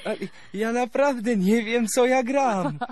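A man's voice: very high-pitched, unhurried, darkish tone. An actor playing a naive, bewildered young male musician, hesitant and stammering, in a radio drama. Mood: bashful, bewildered. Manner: hesitant, stammering, short repeated words.

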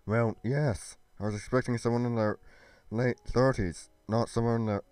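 A man's voice: mid-range voice, fairly even intonation, moderate pace, darkish tone, clear, resonant tone, dry recording, close to an everyday voice. A man voicing a guarded, caring young woman with a hesitant stammer in an amateur scripted roleplay.

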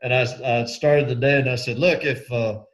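A man's voice: mid-range voice, fairly even intonation, moderate pace, fairly bright tone. A man with a Southern accent co-hosting a casual chat, telling folksy anecdotes in a dry way. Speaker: co-host.

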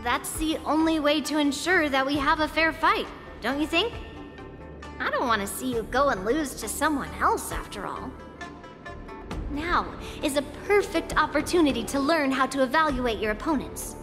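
A woman's voice: high voice, lively intonation, normal speed. A woman playing a small, self-assured girl swordswoman in an anime-style video game, with an instructive manner. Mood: confident, instructive. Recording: long reverb, music behind. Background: music.

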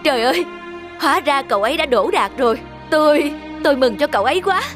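An actor voicing a poor, kind-hearted, emotional scholar in an animated morality tale. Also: sits high, fast talker. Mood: moved. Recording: background music throughout.

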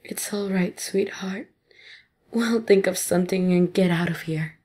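A woman playing a husky, gentle mother. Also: on the low side, measured pace. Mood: tender, reassuring. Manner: soft, soothing. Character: gentle, reassuring mother of a grown son. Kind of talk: audio drama acting.

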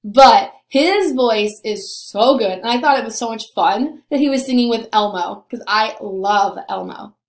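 A young woman teacher giving a recorded lesson to young children, explaining simply and deliberately, on a computer mic in a small room. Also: on the high side. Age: young adult. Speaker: elementary school teacher. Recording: roomy, echoing home recording.

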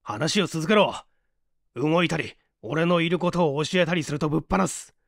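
A man's voice: a little high, moderate pace, vocal fry, a bit bright, no room sound, clean studio sound. A man voicing a desperate, curt armed fugitive who gives threatening orders in an audiobook story. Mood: menacing.

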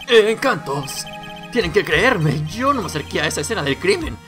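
A man's voice: sits high, very animated, normal speed, bright, forceful tone, faint music behind, heavily acted. A man voicing a flamboyant, dramatic male circus magician from a video game, with theatrical, exclamatory delivery. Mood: pleading, dramatic.

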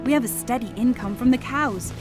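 An actress playing a gentle, doting nineteenth-century farm mother in a family audio drama, tender and affectionate. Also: a little high, brisk, close to an everyday voice. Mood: loving, playful. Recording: music behind the voice.

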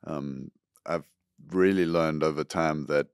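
Middle-aged male school leader, very deep, dry close-mic studio sound, earnest and measured. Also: fairly even intonation, clear, resonant tone, no room sound. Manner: earnest, measured, repeats words when starting. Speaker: school superintendent, guest. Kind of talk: reflective interview answers. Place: podcast studio room. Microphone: close studio boom mic.

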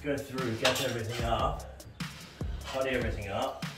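A man's voice: medium pitch, steady tone, moderate pace. A middle-aged man giving casual, practical how-to commentary in short plain phrases while he works, presenting as a tradesman. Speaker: tradesman presenting a renovation video. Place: small tiled bathroom under renovation, indoors. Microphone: camera a few steps away. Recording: roomy echo, distant camera mic, music.

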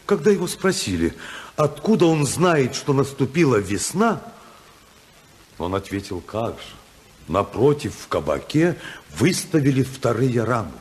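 A man's voice: medium pitch, very animated, measured pace. An actor playing an idealistic congressman torn by love, earnest and oratorical in a 1950s radio drama style. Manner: earnest, oratorical, swinging from hushed to impassioned.

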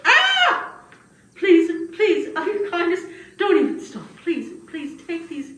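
A woman's voice: extremely high pitch, huge pitch swings, takes it slowly, a bit bright, exaggerated voice. A woman voicing a flustered, humble old man who stammers, frets and pleads in a puppet show. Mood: frantic, pleading. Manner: stammering, exclaiming, fretful. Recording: roomy, distant mic with background noise.

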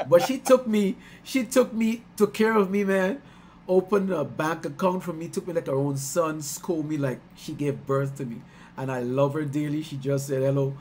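Middle-aged man, from Guyana, fairly high voice, warm and chatty, laughs a lot, headset on a video call, faint background hiss. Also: moderate pace. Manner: warm, chatty, laughs often, gets excited recounting stories. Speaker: musician hosting his album release stream. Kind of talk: casual chat on a video call. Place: small room at home.

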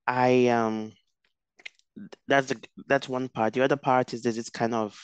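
A man's voice: medium pitch, little pitch movement, measured pace, clean tone, no echo. A young man from Nigeria, a researcher interviewed over a video call, speaking thoughtfully with many restarts and fillers. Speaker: guest researcher. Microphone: headset.

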